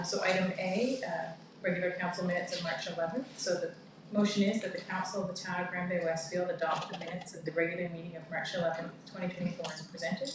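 A woman with a Canadian accent chairing a town council meeting, reading motions and calling votes in a brisk, procedural way. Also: on the low side. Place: large council chamber. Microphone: far room mic. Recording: echoey room from far off, background noise.

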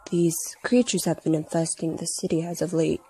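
A woman voicing a cool, detached young male villain in a comic dub, giving a formal, measured explanation. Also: deep voice, little pitch movement, warm tone, slightly rough, faint music behind, exaggerated voice. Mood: calm, clinical.

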